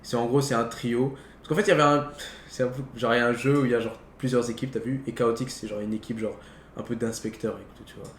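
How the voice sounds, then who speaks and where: A man's voice: medium pitch, little pitch movement, quick pace. A young man chatting casually about films, peppering his slangy talk words. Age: young adult. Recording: roomy echo, faint background noise.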